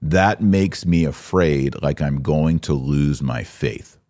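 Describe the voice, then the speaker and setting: Low-voiced man, emphatic podcast host. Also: slow pace, dark tone. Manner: exhortatory, emphatic, rhetorical questions. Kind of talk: opinionated religious commentary.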